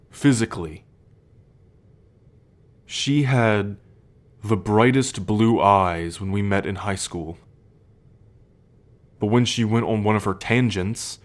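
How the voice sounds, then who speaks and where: Man playing an earnest, protective young werewolf. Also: fairly low pitch. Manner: measured, halting, intimate confiding tone. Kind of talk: ASMR roleplay.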